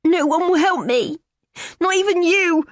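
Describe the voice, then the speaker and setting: A woman's voice: normal speed, darkish tone, dry recording, clean studio sound. A woman voicing a distressed teenage girl who is tearful and pleading. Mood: desperate. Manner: tearful, pleading, high and strained.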